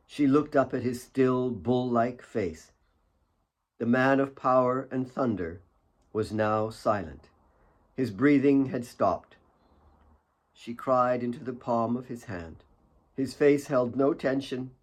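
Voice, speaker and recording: A man reading his own fiction as an audiobook, with solemn, deliberate dramatic narration. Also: medium pitch.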